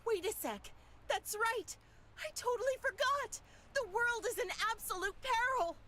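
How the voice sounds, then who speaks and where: A woman's voice: very high-pitched, animated, a little slow, pushed and bright, exaggerated voice. A woman voicing a brash, hot-tempered, sarcastic woman in an anime video game, with an exclamatory, sassy manner. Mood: exasperated, alarmed.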